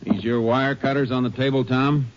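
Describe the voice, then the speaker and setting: Guy playing a calm firm lawman, clipped questions, dull lo-fi old broadcast with loud hiss. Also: mid-range voice, normal speed, close to an everyday voice. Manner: steady, terse questioning. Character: calm, firm male Texas Ranger lawman. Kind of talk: 1950s radio drama acting. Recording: noisy lo-fi old radio broadcast.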